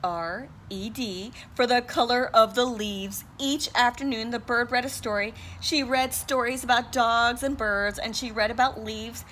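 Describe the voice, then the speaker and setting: A woman's voice: fairly high pitch, steady tone, measured pace, hardly any pauses, fairly bright tone, a little hiss or hum. A woman teacher reading a picture book aloud to her class, sounding out and spelling words letter by letter.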